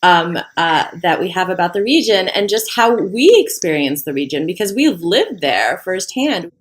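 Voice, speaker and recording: Frank, passionate young woman, low voice. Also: lively intonation, moderate pace. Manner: frank and passionate, swears casually. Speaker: co-founder of the platform. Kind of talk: casual conversation.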